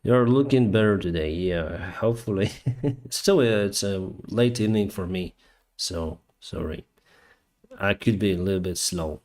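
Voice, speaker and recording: A man from Ukraine with a Ukrainian accent, hosting a casual live chat and answering viewers in a hesitant, halting way. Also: low voice, darkish tone. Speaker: live stream host, airline pilot.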